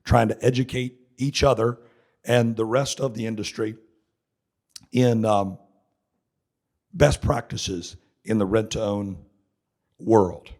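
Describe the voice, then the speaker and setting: A middle-aged man from North Carolina, giving a joking, chatty seminar talk with a raspy voice hoarse from laryngitis. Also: fairly low pitch, fairly even intonation, takes it slowly, pauses often, a little mellow. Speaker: seminar speaker. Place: conference hall. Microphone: handheld mic.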